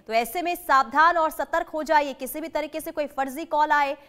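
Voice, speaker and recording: A woman's voice: high voice, moderate pace, bright, forceful tone. A woman anchoring live breaking news, speaking in an urgent, cautioning and repetitive way.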